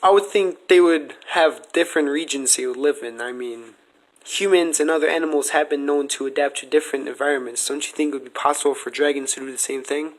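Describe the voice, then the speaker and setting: A man's voice: fairly high pitch, fairly quick, rough recording. A man hosting a casual podcast, speaking in an earnest, speculative way as he argues his case. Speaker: podcast host asking questions.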